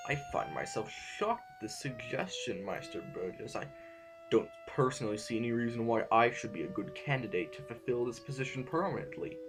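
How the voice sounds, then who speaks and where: A man acts as a solemn sovereign presiding over a council in an audio drama. He is formal and measured, at a middling pitch, with faint music behind him. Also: close to an everyday voice. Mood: humble, subdued.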